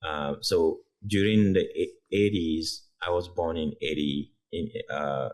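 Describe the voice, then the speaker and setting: A man's voice: rumbling low, steady tone, takes it slowly, darkish tone. A man in his thirties from Togo whose first language is French, speaking English as a reflective guest in a casual interview and telling stories. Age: 30s. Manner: reflective storyteller, shifts pace.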